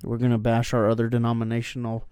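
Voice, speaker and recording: A man co-hosting a church podcast in casual chat, with a dry, teasing wit and quick jokey asides. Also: medium pitch, completely flat delivery, mellow, clean tone, no room sound. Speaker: podcast co-host.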